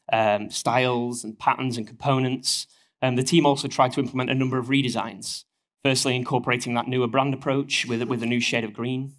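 A man in his thirties with a northern English accent, giving a conference presentation from a lectern on a headset mic while getting over a cold. His delivery is steady and explanatory, with frequent ums and uhs. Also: mid-range voice. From northern England. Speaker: conference speaker. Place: stage of a conference hall, at a lectern.

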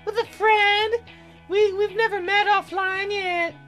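A man voicing a shy, flustered young woman musician in a hesitant falsetto, in a visual novel game. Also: flat delivery, slow, pushed and bright, soft background music, heavily acted.

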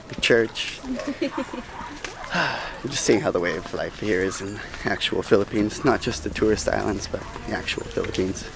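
A man's voice: on the low side, gravelly. A man in his thirties, chatty and upbeat, narrating a travel vlog to a hand-held camera outdoors, often laughing. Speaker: travel vlogger. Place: outdoors on town streets. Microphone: camera held at arm's length. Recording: music loud under the voice.

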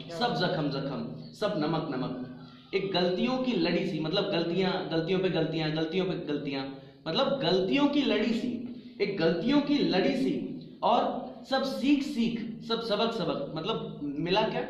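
A man's voice: animated, measured pace. A young man at an open mic reciting his own poems, with chant-like repeated refrains and short spoken asides, into a handheld mic. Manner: repetitive, chant-like refrains, rising on verses and sinking low on endings. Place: indoor room with large windows. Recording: very echoey room, dull lo-fi sound.

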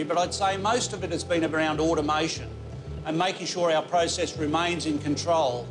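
Australian man explaining flour milling. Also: a little high. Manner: measured, explanatory. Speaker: flour mill manager. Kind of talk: interview answers. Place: inside a flour mill control room.

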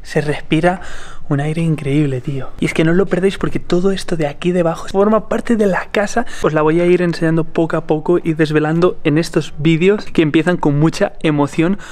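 A man's voice: fairly high pitch, a little fast, darkish tone. A young man from Spain filming an enthusiastic travel vlog to a handheld camera, talking casually and exclaiming as he shows a village house and streets. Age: young adult. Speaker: travel vlogger. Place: village house and streets at night, indoor and outdoor. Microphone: handheld camera at arm's length.

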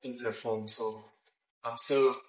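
South African rapper, halting and echoey. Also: male voice, medium pitch, steady tone, a bit bright. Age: 30s. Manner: halting, many restarts, mixing in Xhosa phrases. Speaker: rapper guest. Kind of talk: casual interview. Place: outdoors by a brick building porch.

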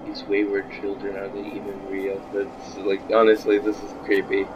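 A young man with a slightly croaky voice, chatting casually to viewers while playing a game, jumpy and easily startled. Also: fairly low pitch, completely flat delivery, normal speed, dull audio, background noise. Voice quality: a little croaky. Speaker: gamer. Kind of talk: casual gameplay commentary.

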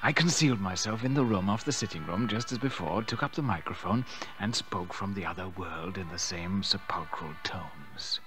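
A man's voice: low voice, normal speed, music in the background, close to an everyday voice. An actor playing a suave, scheming nephew who narrates his crime in theatrical old-time radio mystery style. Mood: sly, suspenseful.

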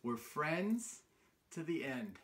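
A middle-aged American man hosting a children's storytime, reading a picture book aloud in an expressive, sing-song way with questions to his listeners. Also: fairly high pitch, slow pace. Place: small room indoors on a couch.

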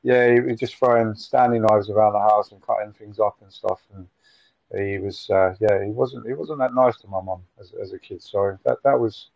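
Halting man in his forties. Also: fairly low pitch, fairly even intonation, creaky, a little mellow, dry recording. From Nottingham, England. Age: 40s. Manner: halting, repeats words, frequent fillers. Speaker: interview guest. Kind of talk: casual storytelling interview. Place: room at home with window blinds. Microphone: video call. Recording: muffled dry video call audio.

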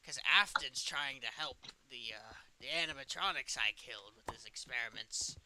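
A man's voice: mid-range voice, a crowd in the background, close to an everyday voice, low-quality recording. A man voicing a clingy, menacing evil puppet twin in an improvised game roleplay, coaxing and wheedling. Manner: coaxing, drawn-out, sinister wheedling.

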